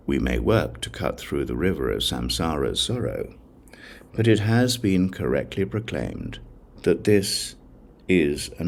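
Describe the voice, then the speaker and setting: A man reading a religious text as an audiobook narrator, in a solemn, measured recitation. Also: very deep voice, gravelly. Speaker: audiobook reader.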